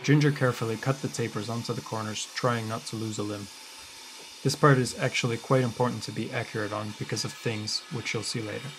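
A man giving a scripted DIY tutorial voice-over in a deadpan, wry and sarcastic manner. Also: medium pitch, fairly quick, background noise. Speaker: woodworker presenting a DIY tutorial.

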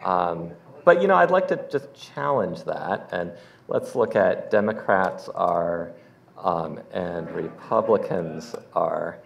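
A man's voice: deep voice, expressive, slow, gravelly, muffled recording, slight background noise. A man giving a casual keynote demo in a lecture hall, with wry asides. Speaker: conference keynote speaker. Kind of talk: live demo presentation.

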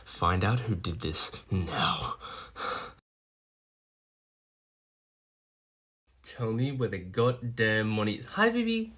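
A man's voice: on the low side, measured pace, a bit bright, slightly rough. A man playing a crime boss boyfriend in a roleplay skit, doting on his girlfriend with baby talk and menacing toward everyone else. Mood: cold, businesslike. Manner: switches between sugary baby talk and cold threats.

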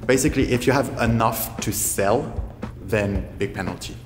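Man, interview guest, measured, low voice, reverb, music bed. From France. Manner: measured, explains step by step. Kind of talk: answering questions to camera. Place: studio with grey backdrop. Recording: echoey studio with music behind.

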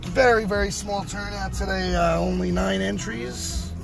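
A man's voice: high-pitched, steady tone, measured pace, gravelly, music in the background. A middle-aged man giving a casual recap to camera, repeating his phrases for emphasis, recorded on a phone inside a car. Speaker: bowler and vlogger. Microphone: phone at arm's length.

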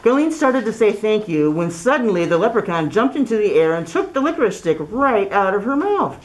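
Animated middle-aged woman reading a storybook. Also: medium pitch, a little fast, low-quality recording. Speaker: children's story reader. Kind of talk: read-aloud storybook narration. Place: small indoor classroom. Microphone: camera a few feet away, speaking through cloth mask.

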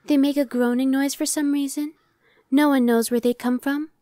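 A woman's voice: fairly high pitch, a little mellow, dry recording, clean studio sound, close to an everyday voice. A woman voicing an impatient, sardonic female demon scout in an ASMR roleplay, talking in a conversational, dismissive way. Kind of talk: ASMR roleplay, one-sided dialogue.

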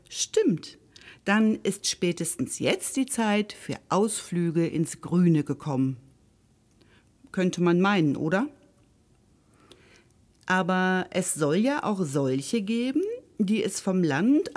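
A woman's voice: medium pitch, a little mellow. A woman hosting and reading a scripted children's story expressively, speaking directly to her listeners. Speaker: storyteller and host.

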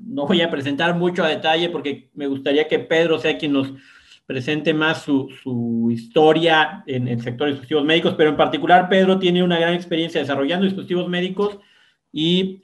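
Un hombre que modera un foro en línea presenta al ponente, repitiendo palabras y con muletillas. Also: male voice, a little high, a little fast. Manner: hesitant with repeated words. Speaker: event moderator. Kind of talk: introducing a guest speaker.

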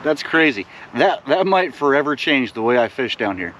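Excitable man filming on a riverbank. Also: mid-range voice, normal speed, a little hiss or hum. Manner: excited, urging a child to reel in; chatty asides to viewers. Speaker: fishing vlogger, father. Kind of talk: casual outdoor vlog chat. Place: outdoors on a riverbank. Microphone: camera on the bank, a few steps away.

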